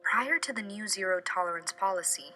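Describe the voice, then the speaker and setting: A woman's voice: mid-range voice, expressive, brisk, bright, forceful tone, faint music behind. A young woman reading an earnest scripted narration for a student documentary.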